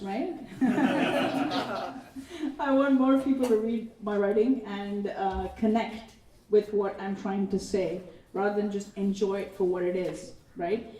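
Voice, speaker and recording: A young woman on a student writers' panel, speaking in a relaxed way and often checking in with "right?". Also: medium pitch. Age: teens. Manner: relaxed, ends thoughts with "right?". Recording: echoey hall, far from mic.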